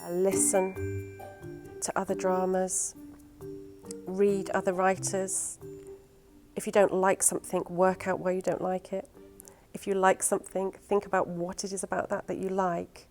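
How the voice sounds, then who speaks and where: A woman giving considered advice in a reflective interview, pausing as she thinks. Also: on the low side, level tone, a little mellow, soft background music. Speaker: radio drama producer giving writing advice.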